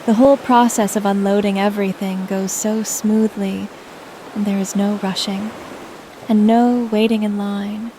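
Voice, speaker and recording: A woman with a mellow, soft-toned voice reads a scripted sleep meditation. Her delivery is gentle and soothing, at a medium pitch. A light wash of surf-like ambience sits behind her. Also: level tone, a little slow, slight background noise, rough recording. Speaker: guided meditation narrator.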